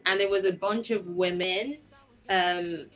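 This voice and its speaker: Nigerian woman with a lowish voice. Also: steady tone, a little slow, a bit bright. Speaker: singer-songwriter being interviewed. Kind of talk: personal storytelling in an interview.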